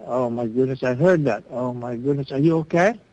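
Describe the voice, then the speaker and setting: A man's voice: medium pitch, fairly quick, a little mellow, no echo. A man working as a hotel clerk on the phone, polite and patient but defensive when he is blamed. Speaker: hotel clerk giving directions. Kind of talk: phone call. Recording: noisy phone line.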